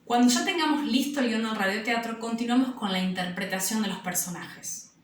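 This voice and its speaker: A woman's voice: on the high side, brisk. Echoey, slightly distant woman teacher. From Argentina. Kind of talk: instructional presentation. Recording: echoey room, distant mic, faint noise.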